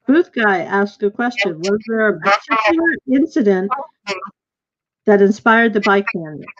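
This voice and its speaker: A woman with a mid-pitched voice hosts a live online session in a casual, informal way. Her delivery halts a little as she goes, and the video call sound is dull and lo-fi. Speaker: host of a virtual theater question-and-answer session. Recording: muffled, lo-fi video call audio.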